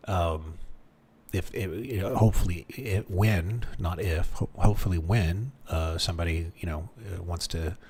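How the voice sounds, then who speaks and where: Deep-voiced man rambling on a livestream. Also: measured pace, a little mellow, slight background noise. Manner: rambling, many restarts and fillers, muttering while reading. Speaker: streamer. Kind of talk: casual livestream chat, thinking aloud. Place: home streaming room.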